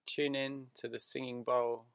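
Slow, creaky-voiced man guiding meditation. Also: medium pitch, little pitch movement, heavy background noise. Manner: soothing, unhurried short phrases with long pauses. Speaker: meditation guide. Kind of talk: guided meditation.

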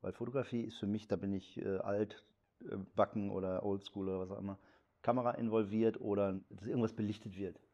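A man's voice: on the low side, fairly even intonation, brisk, dark tone, lo-fi sound. A man in his fifties, a photographer and director, talking bluntly and opinionatedly in an interview, seated indoors on a lapel mic. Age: 50s. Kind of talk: casual interview talk.

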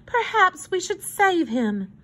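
A woman's voice: extremely high pitch, very animated, a bit bright, voice pushed up, exaggerated voice. Woman putting on a pitying, condescending society lady. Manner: pitying, drawn-out. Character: condescending well-to-do women in fur coats, pitying. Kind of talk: children's storybook reading.

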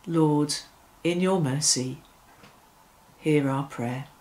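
Slow-paced middle-aged woman leading prayer. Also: sits low, mellow, rough recording. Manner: measured, reverent, with deliberate phrasing. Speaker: church worship leader. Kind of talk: devotional reflection and led prayer. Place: small furnished room indoors.

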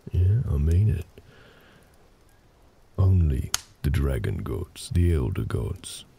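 Big tender orc husband, male actor for intimate comfort audio: extremely creaky low growl, unhurried, reassuring storytelling. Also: very animated, mellow, slight background noise, full character voice. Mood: calm storytelling. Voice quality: very deep, gravelly. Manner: slow, hesitant, reassuring, conversational.

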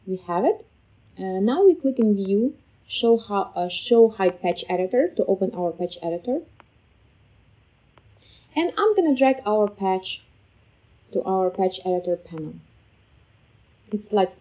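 A woman with a soft-edged, mellow voice walks through software steps as a tutorial host. Her delivery is informal and a bit hesitant, in a casual step-by-step style. The voice-over sounds somewhat muffled and lo-fi. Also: medium pitch. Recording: slightly dull, lo-fi voice-over.

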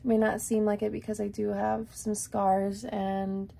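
A young woman talks to her camera in a loose, rambling way, full of likes and ums. Her voice is raspy and gravelly, with a dark, mellow tone. She sounds close to a desk camera in a small room. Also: medium pitch, flat delivery, a little slow. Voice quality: raspy, dry morning voice. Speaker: vlogger. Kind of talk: casual chat to camera.

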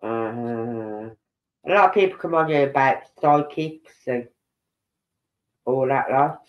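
Slow, stuffy-nosed older man. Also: mid-range voice, lo-fi sound. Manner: rambling, musing aloud, breaks off mid-sentence. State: congested, stuffy nose. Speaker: true-crime livestream host. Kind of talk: casual livestream commentary reading posts aloud.

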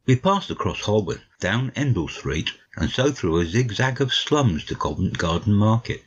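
A man's voice: lowish voice, big pitch swings, normal speed, husky. A man narrating a literary audiobook from a script. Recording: slightly dull, lo-fi audio.

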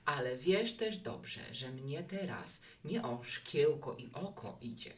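Low, expressive actress as a chatty barkeeper woman. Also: slow pace, recorded from a distance, heavily acted. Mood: romantic musing. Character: chatty, romantic-minded woman running a bar, teasing and hospitable. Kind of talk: Polish audio drama acting.

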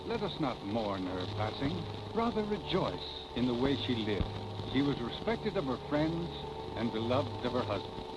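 A man's voice: mid-range voice, very animated, normal speed, over loud music, lightly acted, poor audio. An actor playing a solemn clergyman delivering a formal eulogy in an old 1940s film.

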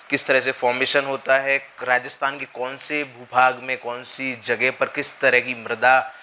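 A young man lectures at a whiteboard in a slightly hoarse voice, the lingering trace of a cold. His tone is bright and forceful, and he talks through each point patiently, pausing often to check that students are following. The lapel mic picks up a faint hiss of room ambience in the classroom. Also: mid-range voice. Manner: explanatory, with frequent check-ins and hesitations. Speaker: exam coaching teacher.

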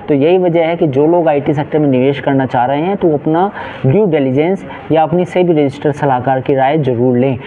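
A man in his forties presenting business news to camera in an office, earnest and brisk, often correcting himself mid-word. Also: fairly high pitch. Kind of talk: explainer to camera, unscripted. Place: indoor office space with shelves and plants. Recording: tinny phone-like sound, faint hiss.

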